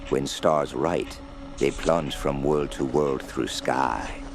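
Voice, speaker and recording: An actor playing an old, menacing cult mystic in a radio horror drama, speaking broken English in halting, incantatory phrases with a gravelly voice. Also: male voice, a bass voice, steady tone, over music, heavily acted. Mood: ominous, reverent. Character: old, fanatical foreign male cult prisoner, menacing mystic.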